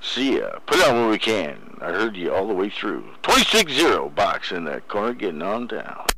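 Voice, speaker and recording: A man's voice: on the low side, wildly swooping pitch, moderate pace, sharp tone, no room sound, rough recording. A man bantering casually in slang as a CB radio operator, heard over the radio. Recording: CB radio transmission.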